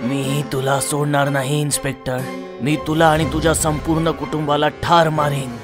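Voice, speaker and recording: Man acting a flat, threatening psycho killer. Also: on the high side, normal speed, over music, lightly acted. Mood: vengeful threat. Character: vengeful male psycho killer. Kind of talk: animated horror story dialogue.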